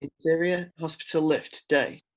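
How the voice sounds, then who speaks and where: A man's voice: on the high side, fairly even intonation, a little slow. A man narrating scene descriptions from a script in a dramatic reading. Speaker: script reader. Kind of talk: scripted narration, screenplay read-through.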